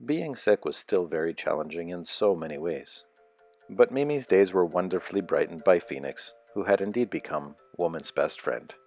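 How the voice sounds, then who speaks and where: A man narrating a children's picture book aloud as a storyteller, with a measured, expressive delivery. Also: low voice, faint music behind.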